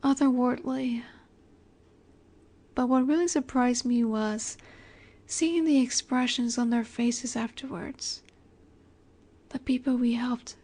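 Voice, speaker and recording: A woman playing an ageless, possessive vampire in a romantic audio roleplay, speaking softly and breathily, often near a whisper, with a wistful, elegant, gently commanding manner. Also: on the high side, fairly even intonation, slow pace, long pauses. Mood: wistful, dreamy. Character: ageless female vampire boss's daughter, wistful, tender, possessive.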